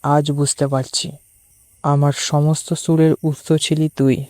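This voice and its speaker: Tender young male musician played by a man for romantic audio drama; soft, unhurried, slightly higher male voice. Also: little pitch movement, no room sound, clean studio sound. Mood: tender, wistful. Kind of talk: dramatised audio story dialogue.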